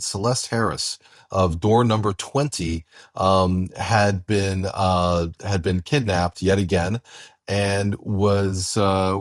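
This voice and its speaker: A man co-hosting a conversational podcast introduction, speaking in halting phrases with repeated words. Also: on the low side, no room sound, studio quality. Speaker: co-host and writer introducing an audio drama episode.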